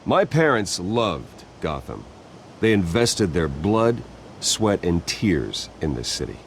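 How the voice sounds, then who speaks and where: An American man playing a brooding billionaire heir in a video game, speaking to the press in a measured, halting, earnest way. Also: low voice, big pitch swings, a little hiss or hum, full character voice. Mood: solemn. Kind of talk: video game dramatic acting.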